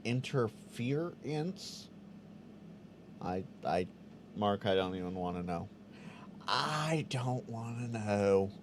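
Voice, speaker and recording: Chatty man with lively pitch. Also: takes it slowly, pauses often, background noise. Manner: chatty, stammers on restarts, sounds out Morse code. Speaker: host of a live Q&A stream. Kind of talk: casual live-stream chat reading viewer comments.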